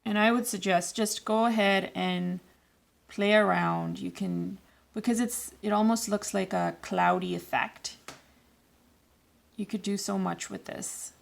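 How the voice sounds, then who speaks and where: A woman with a raspy voice today, giving loose, rambling, self-correcting instructions in a casual watercolour tutorial. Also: mid-range voice, fairly even intonation, measured pace. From Canada. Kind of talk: casual painting tutorial voice-over.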